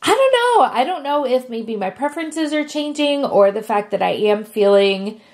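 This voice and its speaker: A woman's voice: on the high side, very animated, normal speed. A woman, sick and sniffly, chatting casually to camera as a beauty vlogger. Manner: chatty and friendly, with frequent fillers.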